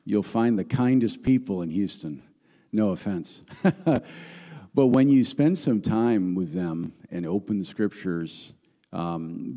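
A middle-aged visiting pastor with a handheld mic, his voice going hoarse, speaking earnestly with many fillers. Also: low-pitched, in a large hall. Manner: warm, earnest greetings and prayer with frequent fillers. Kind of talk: sermon. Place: large church hall, on stage. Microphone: handheld microphone.

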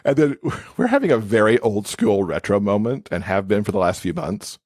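A man with a fairly low voice chats as a podcast guest. He is casual and enthusiastic about what he describes. The recording is dry and free of room sound. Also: expressive, normal speed, a little mellow, no room sound. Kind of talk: casual chat.

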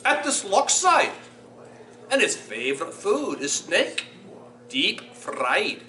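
A man's voice: sits high, wildly swooping pitch, slow, sharp tone, exaggerated voice. A man voicing a small, cheeky, boastful brown mouse in Scots dialect for a story reading. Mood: sly, boastful. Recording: echoey room, faint noise.

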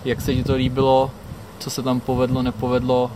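Male off-camera interviewer, very flat delivery, casual, with clear outdoor background noise. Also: mid-range voice.

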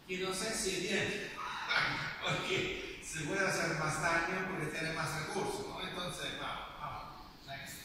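An older Italian-accented man gives a seminar lecture, halting and searching for words. His fairly high voice is picked up by a distant camera in a very echoey hall. Steady noise sits under the whole recording. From Italy. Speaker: guest lecturer. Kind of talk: academic seminar talk. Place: classroom hall with projector screen. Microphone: far camera in the audience.

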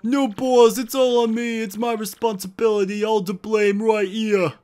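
A man's voice: high voice, flat delivery, moderate pace, darkish tone, soft background music, close to an everyday voice. A man voicing an earnest, loyal young mobster in a game, urgently pleading with his boss.

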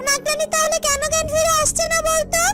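A performer voicing a devoted, excitable young female serpent attendant in a fairytale cartoon, eager and repetitive. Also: very high voice, fast talker. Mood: worried. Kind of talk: Bengali fairytale cartoon voice acting. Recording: loud music under the voice.

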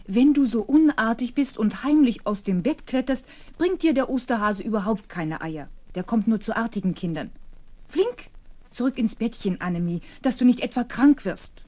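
Lively, fussy nanny. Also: female voice, a little high, normal speed. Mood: scolding. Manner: scolding, coaxing. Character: fussy, strict but caring nanny. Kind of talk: children's audio play acting.

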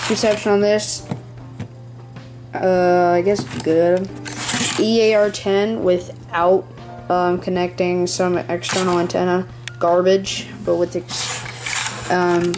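A hobbyist giving a casual, rambling show-and-tell in a small room at home, speaking haltingly near a handheld camera. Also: mid-range voice. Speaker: hobbyist presenting a radio collection. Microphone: handheld camera close by.

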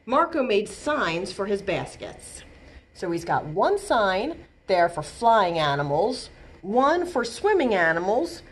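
An American woman in her thirties reading a picture book aloud to children as a librarian, in an expressive, clearly paced way. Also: mid-range voice, slow pace. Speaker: children's librarian and storytime reader. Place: small bright indoor room.

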